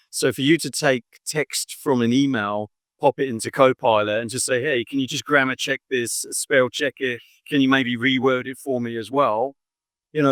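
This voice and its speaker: A man talks through his points at an easy, conversational clip, leaning on "you know" as he explains. His voice sits at an ordinary middle pitch. The sound is dry and close. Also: dry recording. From England. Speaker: corporate marketing director. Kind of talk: conversational interview at a trade show.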